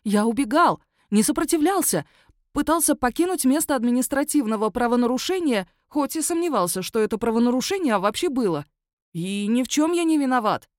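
A woman's voice: on the high side, lively intonation, fairly quick, no room sound, clean studio sound, pitched above the performer's own voice, lightly acted. A woman voicing an indignant, whiny male werewolf under arrest, protesting and complaining in a raised voice. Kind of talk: audiobook character voice.

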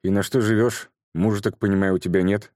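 A man's voice: fairly low pitch, quick pace, no echo, studio quality, close to an everyday voice. A man voicing a stern businesslike woman. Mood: brisk. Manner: calm, curt, matter-of-fact.

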